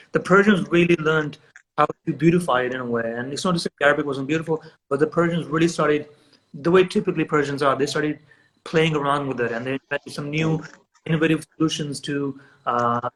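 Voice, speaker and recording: A man's voice: on the high side, a bit bright, slight background noise, poor audio. A man whose first language is Urdu, a guest in a remote interview, explaining with enthusiasm and frequent restarts. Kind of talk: conversational interview.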